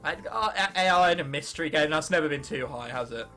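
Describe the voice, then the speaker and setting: A teenage man from England with an English accent, commentating on gameplay and thinking aloud in an excitable, sweary, self-mocking way. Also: a little high, fast, soft background music. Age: late teens. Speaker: gaming video host.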